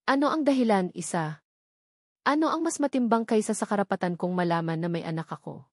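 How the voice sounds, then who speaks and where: A woman's voice: medium pitch, expressive, brisk, a little mellow, no echo. Romance story role: woman narrator as wounded, angry man, accusing and insistent, dry recording. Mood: hurt, accusing. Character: angry, hurt, demanding adult man confronting former lover.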